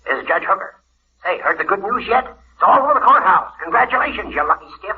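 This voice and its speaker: An actor playing a gleeful, teasing American judge heard over a telephone in a 1940s radio comedy. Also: male voice, sits high, very animated, fast, husky, heavily acted. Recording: thin phone-like vintage radio, faint noise.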